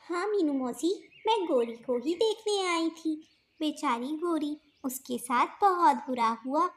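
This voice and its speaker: A woman's voice: sits high, animated, measured pace. A woman voicing a kind-hearted, gullible little bird in a cartoon, speaking in an earnest, exclaiming manner. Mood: concerned, surprised. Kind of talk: Hindi cartoon voice acting.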